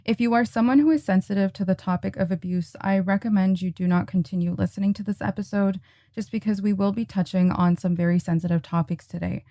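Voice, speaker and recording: Mellow-voiced woman hosting a podcast. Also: medium pitch, steady tone, quick pace, dry recording, clean studio sound. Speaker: podcast host. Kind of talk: podcast interview.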